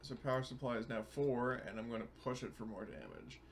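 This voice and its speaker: A man's voice: medium pitch, brisk. Regular guy playing tabletop rpg, explains his moves bit by bit, a little noise behind him. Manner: talks through his moves step by step. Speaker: player in a tabletop roleplaying game. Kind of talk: casual game-table chat.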